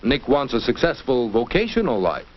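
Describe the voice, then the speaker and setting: A man's voice: mid-range voice, sing-song. An American man giving scripted, didactic narration for a 1950s educational film. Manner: didactic, measured phrasing. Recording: dull vintage 1950s film soundtrack.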